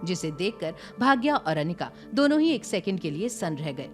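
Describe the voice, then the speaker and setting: A woman's voice: fairly low pitch, big pitch swings, normal speed. A woman narrating a scripted story in a dramatic storytelling style. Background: background music.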